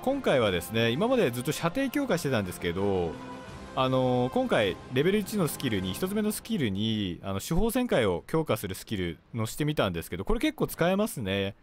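A man commentating on gameplay as a video host, explaining politely in short, halting phrases. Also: mid-range voice, huge pitch swings. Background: game music and artillery fire.

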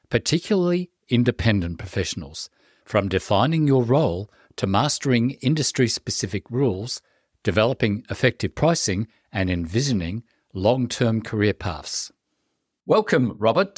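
A man hosting a business podcast, reading a polished, measured introduction and then chatting with his guest. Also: on the low side, no room sound, clean studio sound. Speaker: podcast host. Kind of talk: scripted intro, then interview chat.

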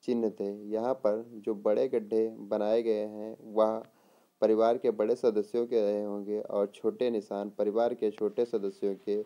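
A man giving an exam lesson read from notes in a deliberate way, posing questions and answering them, sometimes repeating words. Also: on the low side, little pitch movement, dark tone, smooth, dull audio. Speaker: teacher.

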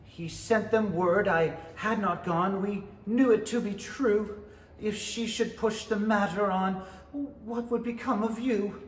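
A man's voice: high voice, fairly even intonation, slow pace. A middle-aged man playing a nervous, deferential rabbit herald in a 1930s-style radio play, hesitant and stammering. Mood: formal recitation. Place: indoor stage in a small theatre. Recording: roomy, echoing stage pickup.

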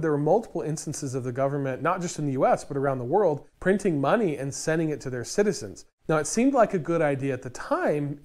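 Animated man hosting a DIY explainer. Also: a little high. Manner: animated, emphatic delivery. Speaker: DIY channel host. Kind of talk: scripted explainer to camera. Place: indoors in a store aisle by lumber racks. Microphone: lapel mic.

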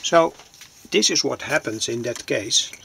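A man explaining a hands-on demonstration plainly, in halting short phrases, as an electronics hobbyist presenter. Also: medium pitch, lively intonation, creaky, bright, forceful tone, a little hiss or hum. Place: small cluttered indoor electronics workbench.

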